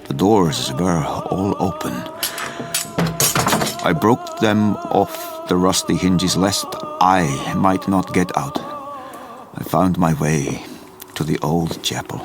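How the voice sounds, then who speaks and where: An actor playing a grave older foreign professor who hunts vampires, narrating haltingly and deliberately in stilted phrasing for an audio drama. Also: male voice, deep voice, over music. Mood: haunted, solemn.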